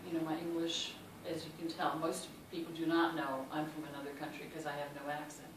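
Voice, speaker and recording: A woman's voice: low-pitched, level tone, fast. A woman with a Chicago accent, telling personal stories on a panel with lots of ums and uhs. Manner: warm and anecdotal, many ums and uhs. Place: large hall. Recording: echoey hall, mic far off, noisy.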